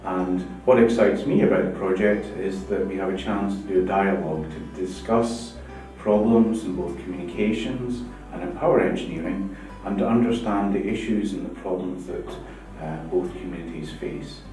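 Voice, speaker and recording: A middle-aged man, a professor, explaining his research project to camera in a measured way. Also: low-pitched, warm tone. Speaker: professor of communications and project coordinator. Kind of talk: interview to camera. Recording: echoey room from far off with music behind.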